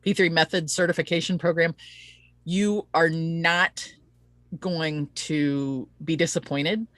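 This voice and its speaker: A woman's voice: sits low, fairly even intonation, slow, a bit bright, no room sound. A woman hosting a casual promotional live-stream interview, speaking in an earnest, chatty and rambling way. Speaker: host of an online interview show.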